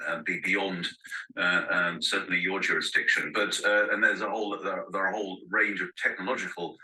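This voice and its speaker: A male interviewer with a very low voice and a bright, sharp edge, hesitating and restarting his questions. He sounds set back from the mic in a roomy space. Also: flat delivery, a little fast, far from the mic. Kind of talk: panel interview.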